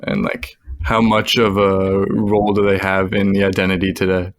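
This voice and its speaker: A man's voice: deep voice, monotone, moderate pace, no echo, studio quality. A man from the United States hosting a history podcast, asking a guest questions with curiosity and frequent laughter, over a call. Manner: curious, friendly, laughs often. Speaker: podcast host. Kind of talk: interviewing a guest.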